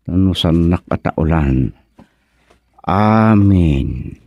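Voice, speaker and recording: Man acting a nervous young suitor, swooping pitch. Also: animated, extremely slow, vocal fry, dry recording, muffled recording, full character voice. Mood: nervous, praying. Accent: Ilocano. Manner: stammering, exclaiming, muttering anxiously to himself. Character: bashful, nervous young man courting a sweetheart. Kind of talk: Ilocano radio comedy drama acting.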